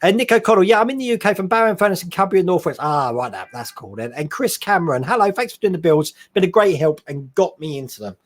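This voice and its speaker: A man hosting a relaxed live stream from his hobby room at home. His voice sits high for a man and swings up and down a lot. He reads out viewer messages and answers them in a rambling, chatty way. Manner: chatty, rambling, quick laughs. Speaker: host. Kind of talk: casual live-stream chat reading viewer comments.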